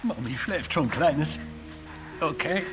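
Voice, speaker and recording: An actor playing a gruff, desperate middle-aged father in an audio drama, emotional and halting as he guards his sick child. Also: fairly high pitch, animated, over music. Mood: anguished, pleading. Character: desperate, grieving gun shop father guarding his infected daughter.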